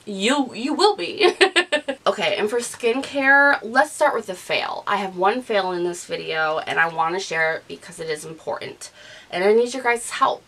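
A woman in her thirties talking casually about her favorite beauty products, gushing and animated, recorded indoors on a studio mic. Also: mid-range voice, normal speed. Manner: chatty, gushing, animated with hand gestures. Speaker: beauty vlogger. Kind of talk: casual product review talk. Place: living room, gray couch, indoors. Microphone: studio mic off camera.

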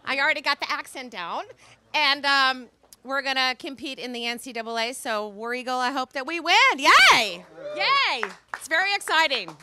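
A middle-aged woman speaks into a handheld mic on a restaurant patio at night. Her voice is high and bright, and she talks in a bubbly, cheering way. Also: lively intonation, normal speed, a little hiss or hum. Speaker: club member speaking at a dinner meeting. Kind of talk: casual speech to a club audience.

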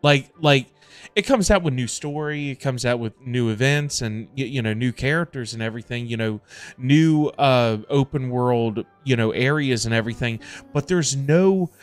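A middle-aged man chatting casually to livestream viewers in an animated, emphatic way, often repeating himself for emphasis. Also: mid-range voice, moderate pace, smooth, no room sound. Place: indoor home streaming setup. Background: music.

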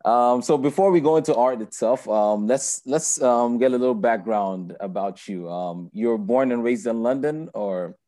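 A man's voice: mid-range voice, moderate pace, hardly any pauses, dark tone. A young man hosting an interview over a video call, friendly and casual, with lots of fillers and repeated words. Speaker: interview host. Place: indoor room. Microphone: laptop webcam video call.

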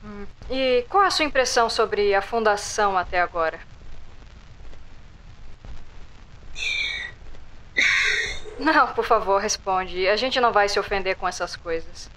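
A woman playing a composed doctor who questions a captive subject in an audio drama, measured and calmly probing. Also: mid-range voice, close to an everyday voice, rough recording. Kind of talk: audio drama interview acting.

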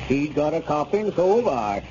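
Dark-toned, crafty genteel squire, unctuous, fairly high voice, vintage radio acting. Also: male voice, very animated. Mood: smug. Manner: genteel, unctuous, deliberate politeness. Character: smooth-talking, scheming small-town squire. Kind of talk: 1930s radio comedy acting. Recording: muffled, noisy 1930s radio recording.

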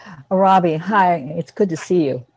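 A woman's voice: on the low side, moderate pace, a little mellow, slightly rough. A woman teaching an informal online class about pets, talking in a reassuring, instructive way to the group. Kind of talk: casual group video-call class.

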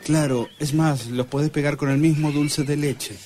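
An actor playing an encouraging but shifty piano teacher in a comic radio drama, with an Argentine accent. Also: male voice, a little high, fairly even intonation, moderate pace, a little mellow, slight background noise, lightly acted. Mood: reassuring.

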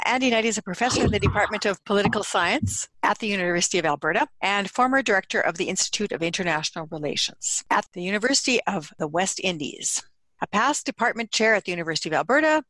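A woman's voice: medium pitch, a bit bright, no room sound. A woman hosting a leadership podcast, introducing her guest admiringly in a conversational way. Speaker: podcast host.

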